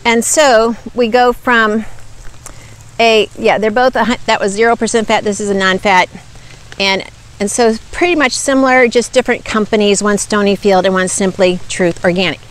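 A woman's voice: mid-range voice, fairly even intonation, normal speed. A woman in her fifties reviewing products to camera outdoors, explaining in an earnest, emphatic teaching manner on a lapel mic. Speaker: nutrition ministry host. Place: outdoors by woods.

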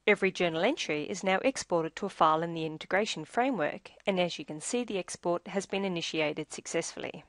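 A woman reading scripted instructional narration for a software tutorial in a measured, explanatory way. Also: lowish voice, dry recording, studio quality.

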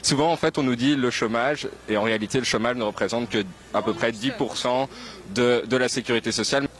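A man's voice: mid-range voice, brisk, a bit bright. A young man conducting street interviews outdoors, explaining patiently with many fillers into a handheld mic. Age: young adult. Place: outdoors on a city square. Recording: faint street noise outdoors.

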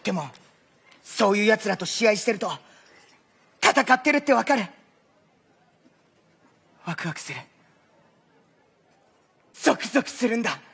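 甲高く元気いっぱいの男子高校生. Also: male voice, high voice, sing-song, creaky, slightly rough, exaggerated voice. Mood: earnest, excited. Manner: exuberant, shouty, drawn-out cries. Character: excitable, eager high school volleyball boy. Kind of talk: loud theatrical stage acting. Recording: noisy live stage recording.

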